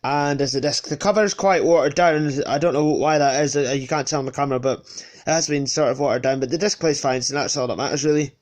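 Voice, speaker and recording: A man's voice: a little high, fairly even intonation, quick pace. A man with a sniffly cold casually presenting his collection unscripted, hesitating and correcting himself as he goes. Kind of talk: casual unscripted show-and-tell.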